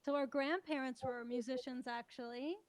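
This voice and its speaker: Young female guest, fairly high voice with little pitch movement, casual interview delivery. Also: steady tone, normal speed, dry recording. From Toronto, Canada. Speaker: interview guest, country singer-songwriter.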